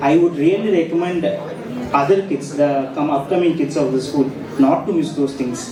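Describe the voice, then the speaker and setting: A man's voice: a little high, brisk, crowd noise. A man in his twenties with a South Indian accent gives an informal, rambling speech to an audience, mixing two languages. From Andhra Pradesh, India. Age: 20s. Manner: rambling, switching between English and Tamil. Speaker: school alumnus speaker. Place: large school hall. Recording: echoey hall, distant mic, audience noise.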